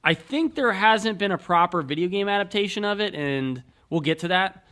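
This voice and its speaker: A man's voice: high voice, animated, moderate pace, bright, forceful tone. A man co-hosting a film podcast, talking casually and informally with some slang. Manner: informal, slangy, occasionally profane. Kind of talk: casual movie discussion.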